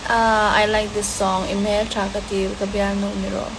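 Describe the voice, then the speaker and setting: A woman answering fan questions in a relaxed, hesitant way, mixing several languages and pausing with fillers. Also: medium pitch. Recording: steady background hiss.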